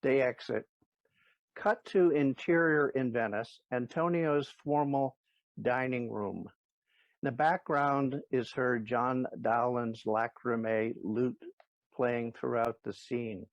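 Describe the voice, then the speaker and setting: A man's voice: medium pitch, fairly even intonation, slow, no echo. A man narrating stage directions for an audio play in a matter-of-fact, scripted manner. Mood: neutral. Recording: dry, slightly dull recording.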